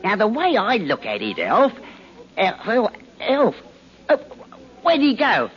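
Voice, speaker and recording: High-pitched chatty Cockney fellow. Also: male voice, huge pitch swings, slow pace, exaggerated voice. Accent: Cockney English. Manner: garrulous, slangy. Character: chatty, matey Cockney pub regular, nosy insurance man. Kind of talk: 1940s radio drama acting. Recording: muffled 1940s radio recording.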